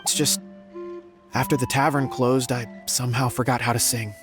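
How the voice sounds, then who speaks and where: A man's voice: mid-range voice, level tone, moderate pace, natural voice. A man playing a self-doubting, apologetic frog singer in a video game, hesitant and glum. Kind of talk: video game cutscene acting. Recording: music bed behind voice.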